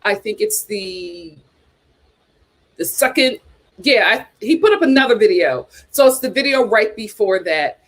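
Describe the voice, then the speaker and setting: A woman's voice: medium pitch, big pitch swings, unhurried. A young woman hosting a casual livestream, chatting and reading viewer comments, repeating herself as she talks. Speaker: YouTube host.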